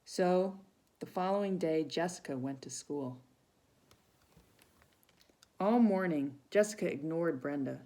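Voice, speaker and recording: A woman with a fairly low, creaky voice reads a picture book aloud to children. She takes her time, shaping the sentences expressively and speaking directly to her young listeners. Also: slow, pauses often, dark tone. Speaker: volunteer storybook reader for children. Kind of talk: read-aloud children's story with asides to listeners.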